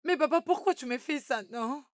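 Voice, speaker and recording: Woman acting a high-voiced, headstrong young daughter. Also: moderate pace, no room sound, clean studio sound, close to an everyday voice. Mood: pleading. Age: young adult. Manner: protesting, plaintive. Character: headstrong young daughter pleading for her freedom. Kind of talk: dubbed melodrama acting.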